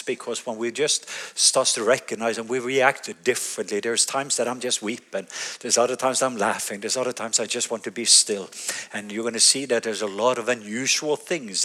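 A middle-aged Norwegian pastor preaching in English with a Norwegian accent, telling a story with frequent laughs and halting restarts. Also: male voice, mid-range voice, pushed and bright. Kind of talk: sermon.

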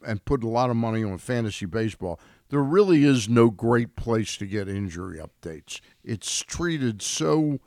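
A man's voice: medium pitch, lively intonation, moderate pace, a little mellow, dry recording. A man commenting on sports in a conversational way in a radio studio.

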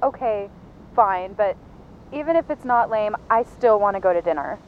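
A woman's voice: medium pitch, lively intonation, normal speed, natural voice. A woman playing a reluctant, plaintive young girlfriend in a naturalistic audio drama. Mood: reluctant, softening. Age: young adult. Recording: dull lo-fi sound, background noise.

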